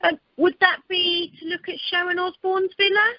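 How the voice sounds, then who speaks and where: A woman playing a wry, quick-witted holiday rep in an amateur radio comedy, on a dull conference line. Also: extremely high pitch, level tone, no echo. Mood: wry, gossipy.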